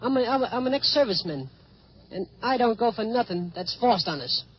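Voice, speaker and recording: A plain-spoken man with a New York accent, a newsstand clerk, talking haltingly with stammered repeats. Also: high-pitched, animated, slightly rough. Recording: dull, noisy old field recording.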